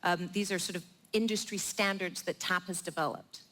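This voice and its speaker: A woman's voice: fairly low pitch, fairly even intonation, normal speed, fairly bright tone. Encouraging woman hosting a live panel. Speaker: panel host. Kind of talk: moderating a live panel discussion. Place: live event in a theatre.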